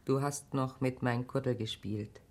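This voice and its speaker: A woman's voice: very deep voice, fairly even intonation, a little slow, a little mellow, heavily acted. An actress playing a motherly, shrewd middle-aged wife in a radio play, coaxing and frank. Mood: earnest. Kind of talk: naturalistic radio play acting.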